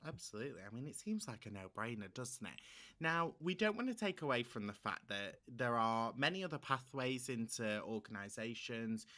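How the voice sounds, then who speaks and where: A man's voice: medium pitch, lively intonation, moderate pace, pushed and bright. A man hosting a roundtable interview, upbeat and encouraging as he introduces guests and asks questions. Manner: upbeat, encouraging, fluent presenter. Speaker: panel host.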